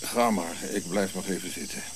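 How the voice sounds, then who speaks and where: Man playing a grumpy, low-voiced old prince. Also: moderate pace, close to an everyday voice. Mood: gruff. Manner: curt, irritable, dry irony. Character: grumpy, sardonic old prince looking back on life. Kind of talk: radio drama acting. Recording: clear background hiss and insect-like night noise.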